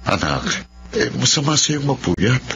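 An actor playing a caring, gently concerned middle-aged father in a Filipino radio drama. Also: medium pitch, big pitch swings, a little slow, soft background music. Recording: dull radio drama, slight noise.

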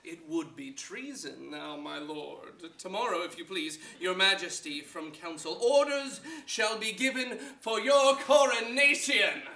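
Man playing Shakespearean nobleman, high piercing voice, deliberate oratory, forceful, crafty, echoing stage. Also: animated, vocal fry, slight background noise, exaggerated voice. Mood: exultant. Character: forceful, crafty courtly nobleman pressing a claim. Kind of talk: theatrical Shakespearean stage reading. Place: theatre stage before an audience. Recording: echoey theatre stage.

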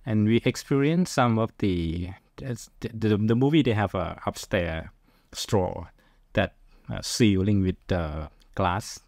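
Deep-voiced middle-aged Thai man, hesitant. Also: slow pace, darkish tone, dry recording, studio quality. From Thailand. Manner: hesitant, searching for words. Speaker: podcast guest, film director. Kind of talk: casual interview answers.

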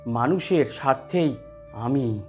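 A man voicing an honest, calm, principled fruit seller in an animated story, speaking plainly and earnestly. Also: mid-range voice, slow pace, faint music behind. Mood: kindly.

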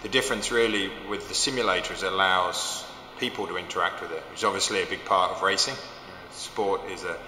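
Male exec, 40s, low flat delivery, crisp edge, roomy hall echo, faint hum. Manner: measured, explanatory, with fillers. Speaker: company chief executive. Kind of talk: corporate video interview. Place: large indoor simulator hall.

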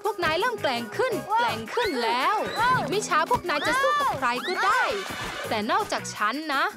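Woman doing a cocky little ninja boy villain for a cartoon, mocking, really high and all over the place, music blaring. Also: very animated, brisk. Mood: smug taunting. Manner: boastful, mocking. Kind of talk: children's cartoon dub. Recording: loud music behind the voice. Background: loud cartoon score.

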